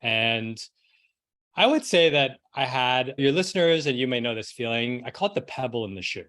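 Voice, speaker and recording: A man's voice: mid-range voice, lively intonation, moderate pace, a bit bright. A middle-aged man from New York City telling his own story as a podcast guest in a casual, conversational way. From New York City, United States. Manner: casual, frequent "like" and rhetorical "right?".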